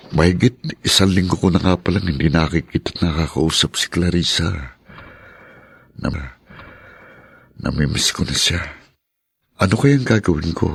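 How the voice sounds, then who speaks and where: A very low, husky Filipino male voice with a heavy creak. A man acts out an earnest, hesitant lover in an audio drama, speaking with sincere longing. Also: dry recording, exaggerated voice. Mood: pensive, longing. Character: Alberto, lovestruck earnest man, hesitant then resolved.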